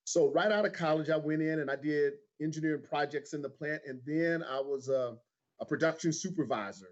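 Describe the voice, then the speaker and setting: Middle-aged American man, slightly high voice. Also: a little fast. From Houston, Texas, United States. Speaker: interview guest, insurance agent. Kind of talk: conversational interview answers. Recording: dull lo-fi livestream audio.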